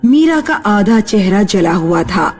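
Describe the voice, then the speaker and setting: A woman's voice: mid-range voice, very animated, slow, darkish tone, soft background music. A woman narrating a scripted story as a storyteller.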